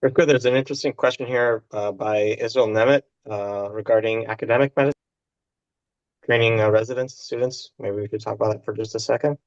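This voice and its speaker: Brief: a low, smooth-voiced male doctor answering panel questions, halting, on lo-fi call audio. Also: steady tone. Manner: halting, reads questions aloud then answers. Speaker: family doctor on a webinar panel.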